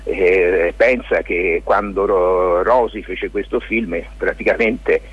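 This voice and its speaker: A man with a fairly deep, rough-edged husky voice answers as a radio interview guest. He talks in a halting, spontaneous way, repeating himself and seeking confirmation as he goes. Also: steady tone, no echo, lo-fi sound. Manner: halting, circling back with check-in tags like "capito" and "no?". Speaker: lawyer and stage author, interview guest.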